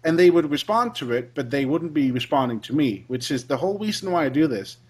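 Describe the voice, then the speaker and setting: A man's voice: a little high, animated, a little fast, breathy edge. A man who also makes gaming videos, chatting agreeably and reflectively in a casual podcast interview. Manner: agreeable, reflective, often backing the other speaker up. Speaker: fellow gaming video maker.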